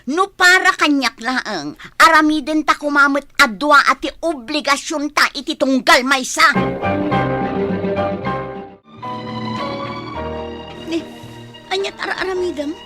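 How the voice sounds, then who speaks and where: High-voiced, upset young girlfriend. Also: pushed and bright, dry recording, clean studio sound, exaggerated voice. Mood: scolding, upset. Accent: Filipino. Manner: emotional, scolding. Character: Bianca, hurt, nagging, thrifty girlfriend confronting her boyfriend. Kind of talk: Philippine radio drama acting.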